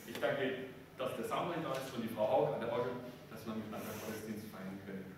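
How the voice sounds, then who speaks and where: A man, a pastor in his thirties, addresses his congregation deliberately as he opens a church service. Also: on the low side. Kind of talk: church service greeting and liturgy. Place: inside a small church. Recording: very echoey church, far mic, faint noise.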